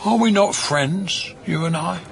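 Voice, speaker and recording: An actor playing a jovial, roguish pub-drinking ghost with old-fashioned, breezy speech in an audio drama. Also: male voice, high voice, a little slow, creaky. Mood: jovial, teasing.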